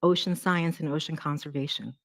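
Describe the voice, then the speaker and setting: A woman's voice: low voice, fairly even intonation, fairly quick. A woman born in Colombia and raised in the United States, giving a self-deprecating, anecdotal public talk. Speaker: conference speaker.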